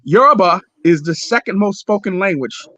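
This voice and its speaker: A man's voice: high-pitched, animated, moderate pace, pushed and bright, dry recording. A man hosting a livestream, arguing heatedly and repeating himself, sometimes swearing. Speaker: livestream host. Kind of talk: heated casual debate.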